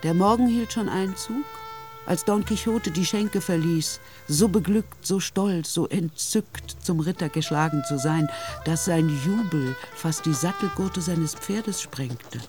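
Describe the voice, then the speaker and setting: A storyteller narrating a radio play from a script. The voice sits at a middle pitch. Soft music plays faintly behind it. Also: a little slow.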